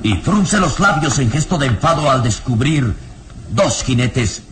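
A man narrating a scripted radio serial in a solemn, deliberate and dramatic manner. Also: mid-range voice, animated, background noise, lightly acted. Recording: dramatic music behind the narration.

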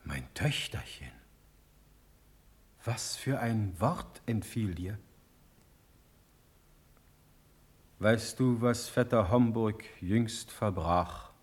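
A man's voice: lowish voice, expressive, slow pace. An actor playing a fatherly but stern older prince in a classical verse drama, speaking in a dignified, weighty, rhetorical way. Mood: gentle, paternal.

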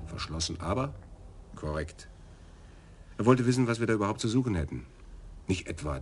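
A dreamy detective with a very deep voice, eagerly explaining Frisian words. The radio-drama recording carries a faint hiss. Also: male voice. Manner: enthusiastic, pedantic about words. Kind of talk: 1980s radio drama acting.

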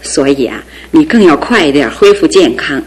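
Doting middle-aged mother, lowish tender voice, fussing manner. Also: lively intonation, normal speed, darkish tone, rough recording. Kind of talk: radio drama acting.

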